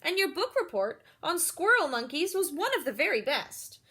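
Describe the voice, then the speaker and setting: A woman's voice: on the high side, a bit bright, breathy edge, heavily acted. A woman voicing a kind, patient storybook schoolteacher who coaches a pupil in an encouraging, instructive way. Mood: gentle, firm.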